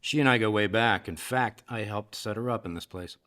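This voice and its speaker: A man's voice: on the low side, a little fast, a bit bright, natural voice. A man acting a powerful, rich businessman in a comedic radio drama, smug, blunt and flirtatious. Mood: suave, flirtatious. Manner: blunt, self-assured, flirtatious.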